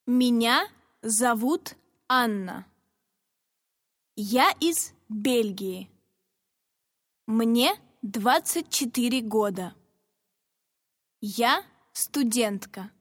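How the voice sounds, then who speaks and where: A woman reading short scripted self-introductions for a language listening exam, phrasing each sentence slowly and deliberately. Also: a little high, expressive, fairly bright tone, dry recording, clean studio sound, long reverb tail. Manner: deliberate, evenly spaced phrasing. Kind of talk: slow, carefully read exam monologue.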